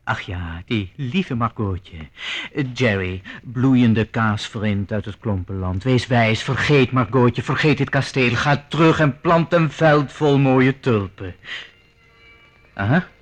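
A man's voice: medium pitch, takes it slowly. A man playing a suave, flamboyant continental charmer with a teasing, theatrical manner in a radio drama. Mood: condescending. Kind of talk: Dutch radio drama acting.

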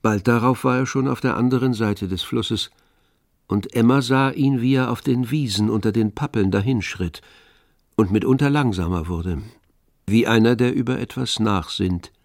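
A man's voice: mid-range voice, moderate pace, no echo, clean studio sound. A man narrating a radio drama adapted from a novel in a scripted literary style.

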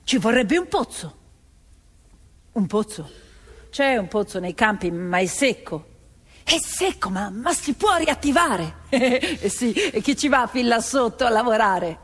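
A woman acting a proud peasant wife who tells of her family's toil in expressive, measured theatrical narration, voicing others as she goes. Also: on the high side, breathy edge, exaggerated voice. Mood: earnest, wry. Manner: expressive, measured storytelling, voicing quoted neighbours and relatives. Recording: music behind the voice.

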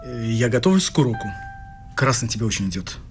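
A man's voice: medium pitch, big pitch swings, quick pace, soft background music, natural voice. An actor playing an affectionate, easygoing young male student in a radio play, talking in a teasing, coaxing way. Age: young adult.

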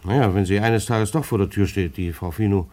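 A man's voice: fairly low pitch, fairly quick, mellow, lightly acted. A man acting in a German radio drama as an agitated, self-justifying bailiff, stammering and repeating himself as he pleads. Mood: insistent, agitated.

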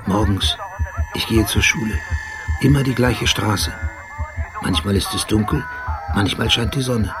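An actor playing an obsessive police detective in a German radio drama, recounting things in a measured, deliberate, terse way. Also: male voice, on the low side, over music, natural voice. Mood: brooding, remembering. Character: obsessive male police detective, precise brooding narrator haunted by memory.

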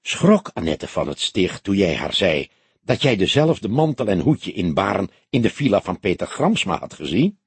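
A man's voice: deep voice, sing-song, normal speed, creaky, husky, no echo, full character voice. A man voicing a younger male detective sidekick in an audiobook, curious and eager, with an emphatic, questioning delivery. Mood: amused. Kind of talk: audiobook character dialogue.

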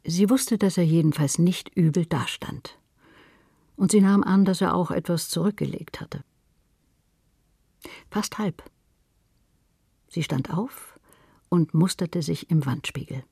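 A woman's voice: fairly low pitch, takes it slowly, long pauses, dark tone, husky, no echo, studio quality. A woman reading literary prose as a scripted narrator.

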